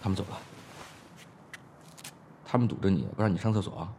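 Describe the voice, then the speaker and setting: A man's voice: sits low, fairly quick, a little mellow, a little hiss or hum, lightly acted. A young man acting in a Chinese audio drama as a brash, impatient but protective schoolboy with a blunt, slangy way of talking. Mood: gruff, protective.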